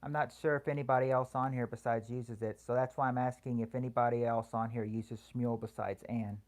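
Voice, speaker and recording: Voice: mid-range voice, fairly even intonation. Soothing, hesitant livestream host. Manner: hesitant, halting, full of restarts. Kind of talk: casual chat answering viewer comments.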